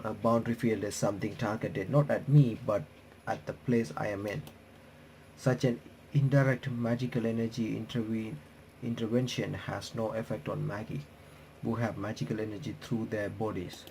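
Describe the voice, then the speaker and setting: A man's voice: on the low side, steady tone, normal speed, a little mellow, slight background noise, low-quality recording. A man hosting a game playthrough, reading the text aloud with casual reactions and stumbling over words as he goes. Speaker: host of game reaction videos. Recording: faint music behind the voice. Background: game music.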